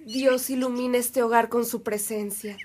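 A woman's voice: fairly high pitch, fairly even intonation, moderate pace, background noise, lightly acted. A woman playing a polite, devout household woman in a radio drama. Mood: welcoming. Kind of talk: Spanish-language radio drama acting.

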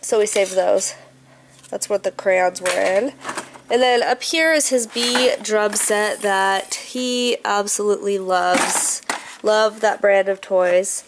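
A woman's voice: medium pitch, fairly even intonation, takes it slowly. A 21-year-old woman, a stay-at-home mom, chatting casually in a home vlog with a stuffed-up nose from a cold, rambling with lots of "um" and "like". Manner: chatty, rambling, heavy on fillers like um and like. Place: living room at home, indoors. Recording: noisy home camera audio.

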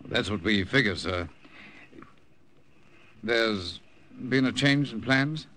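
An actor playing a dutiful lance corporal and radio operator in a 1950s radio drama. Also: male voice, on the low side, expressive, slow pace, sharp tone, exaggerated voice. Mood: respectful. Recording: noisy old radio recording.

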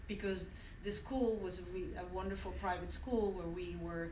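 A woman from Venezuela, French her first language, gives a reflective talk at a standing mic in a university classroom. She often pauses and restarts her sentences. Her voice is fairly low and sounds roomy and distant, caught from the back of the class. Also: steady tone, darkish tone, slight background noise. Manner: reflective, with many restarts and hesitations. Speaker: conference speaker. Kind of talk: personal talk to an audience. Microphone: standing mic, recorded by a phone from the audience. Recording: echoey room from far off.

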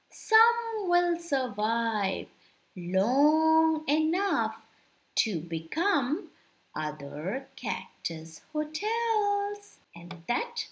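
A young woman reading a children's story aloud in an expressive, deliberate storytelling manner. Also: sits high. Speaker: storyteller, channel host.

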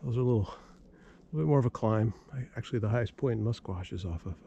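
A man casually narrating his own hike through snowy woods, pointing out trail features in a relaxed, plain-spoken way. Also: medium pitch, fast talker, gravelly, dull audio. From New England, US. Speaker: hiker filming his own trail video. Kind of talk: casual narration while hiking. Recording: loud outdoor noise, clicks.

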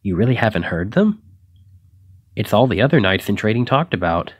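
A man's voice: fairly low pitch, big pitch swings, normal speed, slightly rough, no room sound. A man acting a shy, flustered, self-doubting young knight in an ASMR roleplay, stammering and hesitant as he apologizes. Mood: anxious, self-doubting.

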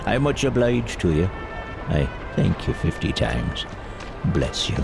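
Gravelly, swooping old reformed miser. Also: male voice, low voice, big pitch swings, unhurried, over music, heavily acted. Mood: effusive, warm. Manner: exuberant, chuckling, mock-stern at times. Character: elderly reformed miser, gleeful, giddy and generous. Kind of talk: theatrical audio drama acting.

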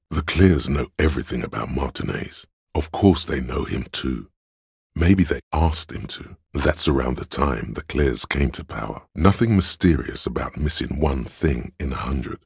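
Inner voice of reason for a detective game: extremely low, raspy, measured, condescending. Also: male voice, lively intonation, dry recording, clean studio sound, heavily acted. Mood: cool reasoning. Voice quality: very deep, gravelly, husky. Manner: measured, deliberate, analytical. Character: cold, analytical inner voice of a detective's logic, condescending. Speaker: video game voice actor. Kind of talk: scripted game narration.

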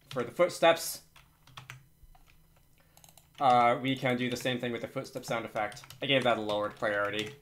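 A man's voice: medium pitch, bright, forceful tone. A man hosting an informal programming tutorial, thinking aloud hesitantly as he works through it at his computer. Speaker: tutorial host. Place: indoors at a computer, screen recording with typing.

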